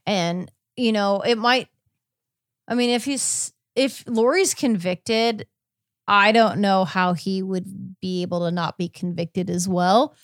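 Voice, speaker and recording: A woman hosts a podcast in a casual, conversational way. Her voice sits at a medium pitch. She feels her way through a recap, hesitating and rewording as she talks, and the sound is dry with very little room in it. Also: steady tone, slow, no echo. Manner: hesitant, self-correcting. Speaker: podcast host. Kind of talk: casual chat recapping a court case.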